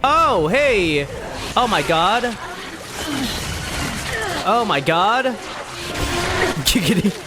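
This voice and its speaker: A woman streamer giving casual, playful and excitable commentary as she plays a video game, sometimes swearing. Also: mid-range voice, drawn-out words, pauses often, loud music behind the voice. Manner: playful, excitable, profane, given to silly repetition. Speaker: streamer reacting while playing a video game.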